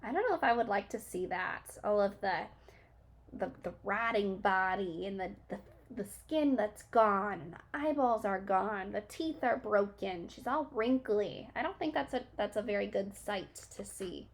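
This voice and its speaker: Slow gravelly-edged woman reading a storybook. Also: mid-range voice. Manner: pauses to ask questions and explain. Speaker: teacher reading to children. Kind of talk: reading a children's book aloud.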